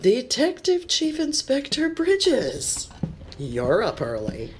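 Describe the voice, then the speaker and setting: A laid-back space diner server, low and unhurried with lively swings in pitch. She is a cagey, street-wise informant who talks in slang, as in a science fiction radio play. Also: a little hiss or hum. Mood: easygoing. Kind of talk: science fiction audio drama acting.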